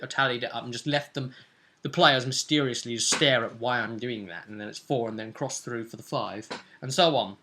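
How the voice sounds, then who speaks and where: Bright-voiced, excitable man co-hosting. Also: medium pitch, fairly quick, a little hiss or hum. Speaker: host and game master. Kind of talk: animated chat recapping a tabletop role-playing game.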